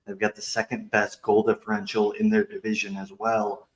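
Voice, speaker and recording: Echoey, lowish-voiced man hosting. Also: monotone, moderate pace. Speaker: sports podcast host. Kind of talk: conversational interview questioning. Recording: echoey room sound.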